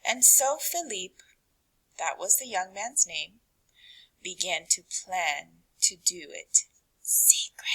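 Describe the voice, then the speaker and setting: A woman reading a picture book aloud to children, with dramatic, deliberate phrasing that drops to a hush and rises for emphasis. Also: lowish voice, sing-song, husky, low-quality recording. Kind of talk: expressive read-aloud narration for children.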